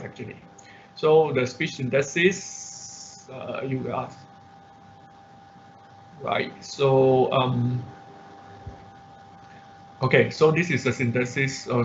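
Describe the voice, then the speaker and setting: A man teaching an informal online programming class, thinking aloud haltingly and repeating his words as he explains. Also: mid-range voice, vocal fry. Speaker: instructor. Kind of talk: informal online programming lesson with live demonstration. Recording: muffled, noisy online class audio.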